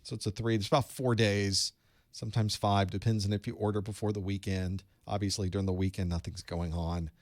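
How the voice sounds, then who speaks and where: Relaxed low-voiced man hosting a live study group. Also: fairly quick, no room sound. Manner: relaxed, chatty, greets viewers by location with light jokes. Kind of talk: casual live-stream chat and instruction. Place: home studio room with electronics.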